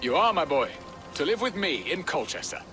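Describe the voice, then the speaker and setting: A man's voice: fairly high pitch, big pitch swings, normal speed, sharp tone, faint music behind. A man playing a sentimental, genial middle-aged nobleman in a period game, speaking in a courtly, effusive way. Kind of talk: dramatic period game acting.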